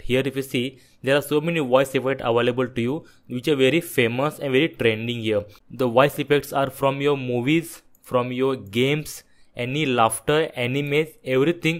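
A man narrating a software tutorial, explaining things step by step in an instructive, repetitive way. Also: medium pitch. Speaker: tech tutorial presenter.

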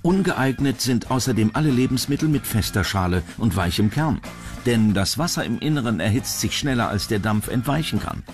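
A man narrates a television countdown documentary in a scripted delivery. His voice sits at a medium pitch, with music playing clearly behind him. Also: expressive, a little fast. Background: background music.

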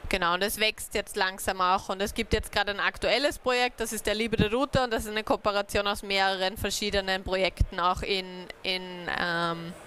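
A woman's voice: medium pitch, fairly even intonation, brisk, hardly any pauses, bright, forceful tone, slight background noise. A young woman, a native speaker, giving an informal talk to a small group about community networks, hesitant with many fillers. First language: German. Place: dark event room, on stage.

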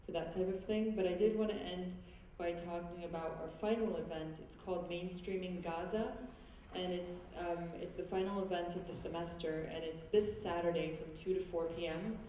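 A woman's voice: fairly low pitch, steady tone, moderate pace, dark tone, distant mic, a little hiss or hum. A young woman giving prepared remarks at a student event, speaking hesitantly with many fillers and restarts into a handheld mic on a large stage. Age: young adult. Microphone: handheld mic, far camera. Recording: echoey hall recorded from afar.

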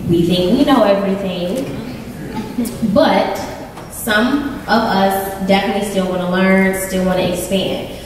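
A woman on a panel speaks slowly and deliberately in a casual way. She is recorded from the back of a reverberant conference hall, and clear room noise sits under her voice. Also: mid-range voice. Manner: slow, deliberate, colloquial. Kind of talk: panel talk. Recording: echoey hall from far off.

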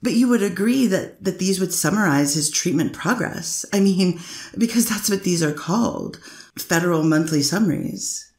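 A woman's voice: on the low side, expressive, moderate pace, darkish tone, echoey room. A woman reading the part of a defense attorney cross-examining a witness, probing with frequent fillers. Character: persistent female defense lawyer. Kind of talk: amateur readers theater reading of a court transcript.

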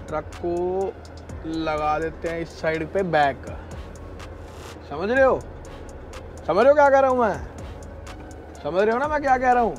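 A man chatting casually and animatedly to viewers as a trucker vlogger. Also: high-pitched. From India. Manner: animated, addresses viewers as brother. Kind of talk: casual vlog chat to viewers. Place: truck cab and snowy yard outdoors.

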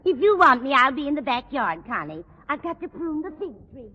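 A woman's voice: sits high, sing-song, brisk. An actress playing a genial, literal-minded older landlady with an American accent and a fussy, lilting manner, in 1940s radio comedy. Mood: matter-of-fact. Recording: noisy, muffled 1940s radio recording.

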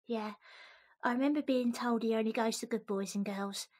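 A woman's voice: a little high, moderate pace, a little mellow, natural voice. A woman playing an informal young daughter in an audio drama, with a casual, colloquial way of talking. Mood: wistful. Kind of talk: fan audio drama acting.

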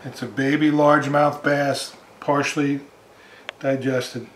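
A middle-aged man talking casually and excitedly to the camera as he cleans a fish in his kitchen. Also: mid-range voice, unhurried, roomy sound, slight background noise. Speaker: angler. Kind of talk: casual fishing vlog. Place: home kitchen indoors, also outdoors by a lake.

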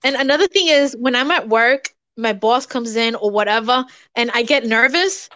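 Puerto rican lady crafting on a video call, high bright voice, chatty and laughing at herself. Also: normal speed, no echo. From Puerto Rico. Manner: chatty, self-mocking, laughs often. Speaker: craft show co-host. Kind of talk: casual chat while crafting. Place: home craft table, indoors.

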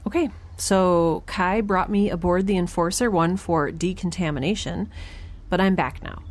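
A woman's voice: on the low side, moderate pace, natural voice. A woman playing a quirky young scientist in space, recording a chatty, wry spoken log for an audio drama. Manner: chatty, wry, self-interrupting, informal.

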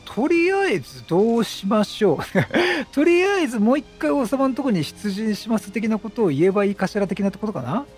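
A man giving casual let's-play commentary as a game streamer, chatty and quick with joking reactions. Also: high-pitched, very animated, darkish tone. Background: game music.

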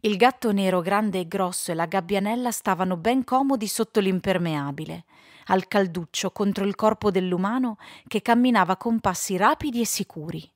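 Woman, audiobook narrator, scripted storybook reading, medium pitch, dry recording. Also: normal speed, no room sound.

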